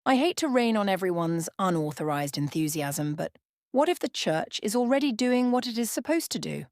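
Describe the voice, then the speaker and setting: An actress playing a skeptical church member who speaks wryly. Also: female voice, mid-range voice, very animated, moderate pace, a little mellow, dry recording, clean studio sound. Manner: wry, dryly skeptical.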